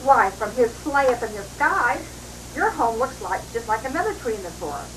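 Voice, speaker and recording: A woman voicing a cheerful, wise chipmunk aunt from a storybook, with brisk, emphatic encouragement, on a noisy old home recording. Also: a little high, sing-song, recorded from a distance. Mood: encouraging.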